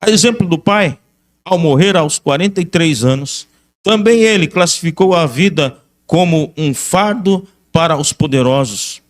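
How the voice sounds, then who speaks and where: High-pitched Brazilian man lecturing. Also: a little slow. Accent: Brazilian Portuguese. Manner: emphatic, repeats key phrases. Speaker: religious teacher and lecturer. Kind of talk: history lecture mixing reading aloud and commentary.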